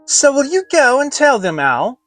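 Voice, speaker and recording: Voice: sits high, sing-song, moderate pace, a bit bright, no room sound, soft background music, voice pushed up. A narrator voicing an eager, earnest little boy in a children's storybook.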